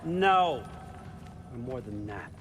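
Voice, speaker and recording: A man's voice: medium pitch, animated, slow pace, noisy background. A man playing a cunning, aging patriarch of the gods in a video game, speaking in a conversational, coaxing way that can turn commanding. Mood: musing, persuasive. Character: cunning, persuasive old god, patriarch of gods. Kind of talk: cinematic video game acting. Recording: music under the voice.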